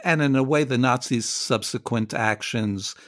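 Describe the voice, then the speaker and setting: A man's voice: medium pitch, normal speed, no room sound. Male radio interviewer, close dry sound. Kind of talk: conversational book interview, summarizing and questioning.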